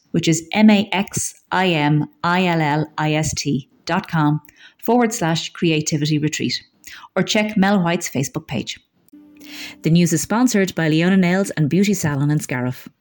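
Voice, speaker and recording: A woman's voice: lowish voice, darkish tone. A woman with an Irish accent reading scripted local news as a community radio newsreader.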